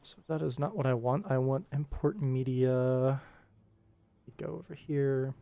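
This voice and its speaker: A man's voice: mid-range voice, a little slow, gravelly, dark tone, dry recording. A man giving casual livestream commentary, informally thinking aloud as he works on an animation production. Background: music.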